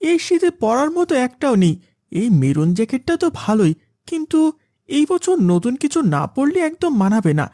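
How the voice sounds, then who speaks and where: A woman voicing an earnest, eager young boy in an animated moral story. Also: sits high, brisk, dry recording, clean studio sound. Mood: eager, demanding. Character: young boys: spoiled eager son and gentle poor elder brother.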